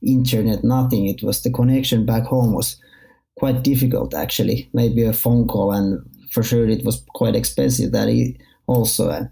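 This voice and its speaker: A man's voice: medium pitch, steady tone, moderate pace, vocal fry, mellow, rough recording. A man from Finland, speaking English as an as he conducts a conversational interview.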